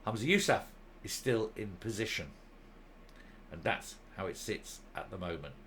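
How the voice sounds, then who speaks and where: A male commentary host reading a document aloud and commenting on it, stressing key words with deliberate, emphatic phrasing. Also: on the low side, animated, a bit bright, slight background noise.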